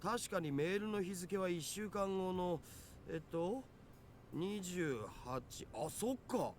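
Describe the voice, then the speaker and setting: A man's voice: high-pitched, lively intonation, moderate pace, slight background noise. An actor playing a casual, geeky young male hacker sidekick in a visual novel, with slangy, laid-back phrasing. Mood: casual, puzzled. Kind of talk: Japanese visual novel voice acting.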